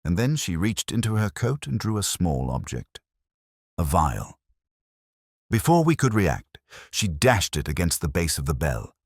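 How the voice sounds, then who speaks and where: Male audiobook narrator, extremely low register, lively intonation, dramatic literary delivery, dry close sound. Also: a little slow, breathy edge, no echo.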